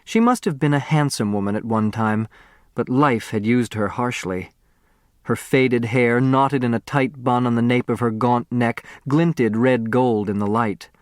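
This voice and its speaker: An American man reading a story as an audiobook narrator, expressive and precise. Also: medium pitch, a little slow, no echo, clean studio sound. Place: dry studio.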